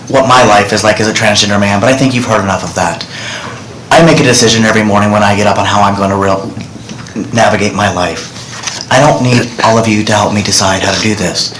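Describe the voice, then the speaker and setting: A man's voice: on the low side, fairly bright tone, a little hiss or hum. A middle-aged man giving earnest, direct testimony to senators from a desk microphone in a large hearing room. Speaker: witness testifying. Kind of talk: public testimony. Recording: roomy hearing room audio.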